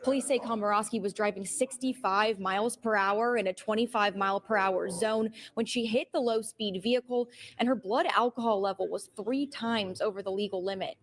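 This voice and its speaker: A woman's voice: mid-range voice, a little fast, few pauses. A woman narrating a scripted television news report as a reporter.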